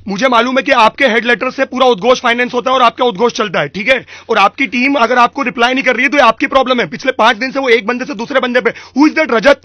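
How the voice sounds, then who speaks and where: A man's voice: very high voice, fast, few pauses, pushed and bright, no echo, clean studio sound, full character voice. A man with an Indian accent playing an irate, pushy vendor who badgers and repeats demands on a prank phone call. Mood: indignant. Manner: badgering, repetitive, accusatory. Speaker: prank caller. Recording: dull lo-fi radio recording.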